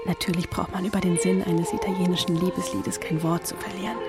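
An actress playing a composed, quietly yearning Regency gentlewoman in a radio drama, thoughtful and formal in her delivery. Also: fairly low pitch, steady tone, quick pace, loud music behind the voice, natural voice. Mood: modest. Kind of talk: German radio drama dialogue and inner monologue.

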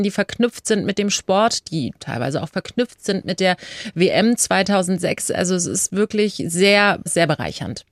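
A woman's voice: lowish voice, no room sound, studio quality. A female TV presenter answering interview questions thoughtfully and with enthusiasm.

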